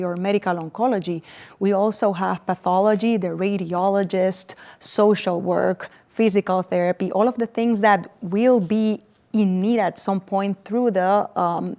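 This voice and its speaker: A woman's voice: mid-range voice, a little mellow. A woman doctor in her forties from Puerto Rico, with a Puerto Rican accent and Spanish as her first language, explaining things earnestly and step by step in a TV interview. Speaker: cancer doctor.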